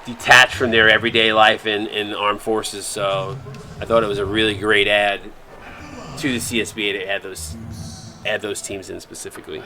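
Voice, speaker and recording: A man's voice: lowish voice, level tone, normal speed, over music. A man calling a simulated basketball game on a live stream, upbeat and thanking viewers by name between plays. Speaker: play-by-play broadcaster for a simulated basketball league stream.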